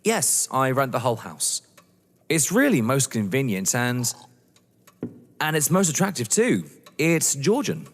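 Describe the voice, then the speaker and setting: An actor playing a young English lover, earnest, polite, proud and defensive, in a theatrical audio drama. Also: male voice, mid-range voice, sing-song, measured pace. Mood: eager, proud. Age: young adult. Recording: echoey hall-like reverb.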